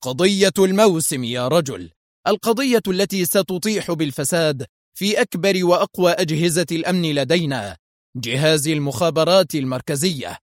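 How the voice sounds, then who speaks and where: A man's voice: a little high, normal speed, dry recording, studio quality, lightly acted. A man voicing a stern, commanding judge. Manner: curt, firm.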